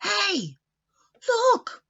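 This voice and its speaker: A woman acting out eager, hot-headed young boys from a storybook, often shouting and accusing. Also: very high-pitched, wildly swooping pitch, drawn-out words, creaky, muffled recording, voice pushed up, exaggerated voice. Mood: suspicious.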